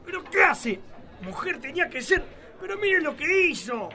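A man's voice: very high voice, sing-song, normal speed, pushed and bright, a faint crowd, a little hiss or hum, full character voice. A man playing an arrogant, scheming rich villain in a live radio play, bossy and scornful. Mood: irritated, scornful. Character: arrogant, scheming, sexist rich man plotting with a police chief.

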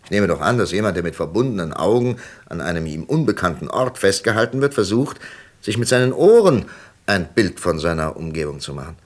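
An actor playing a patient, shrewd investigator in a German radio crime drama, questioning deliberately with thoughtful pauses. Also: male voice, sits low, sing-song. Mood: patient, coaxing. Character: patient, methodical male FBI investigator, probing and shrewd.

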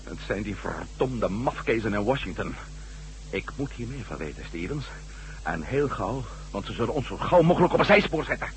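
A man's voice: lowish voice, big pitch swings, normal speed. A man playing a blunt, hot-tempered, exclamatory astronaut turned reporter in a radio drama, on a very noisy old recording. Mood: grim, determined.

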